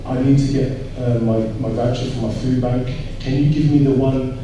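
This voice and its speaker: A man speaking earnestly and reflectively on a panel, pausing and repeating words as he makes his points. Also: mid-range voice, fairly even intonation, warm tone. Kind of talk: panel discussion remarks. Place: large echoey indoor event room. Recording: very echoey room, distant mic, noisy.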